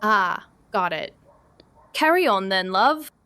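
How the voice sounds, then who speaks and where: A woman puts on a posh British lady's voice for an audio drama. It is bright and crisp, with a slow, teasing, affected delivery. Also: mid-range voice, animated, no room sound. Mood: playful. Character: mock-fancy British woman, playful and posh.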